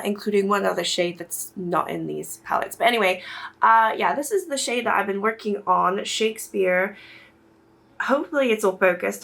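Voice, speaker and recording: A woman's voice: mid-range voice, vocal fry, pushed and bright. Chatty stuffed-up young woman vlogger. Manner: chatty, rambling, self-deprecating. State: stuffed-up nose from a cold. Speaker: beauty vlogger. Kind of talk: casual makeup chat to camera.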